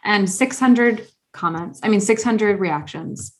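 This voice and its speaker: A woman co-hosting a podcast in a casual chat, animated, laughing often and talking with many fillers. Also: mid-range voice, slow pace. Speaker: podcast co-host.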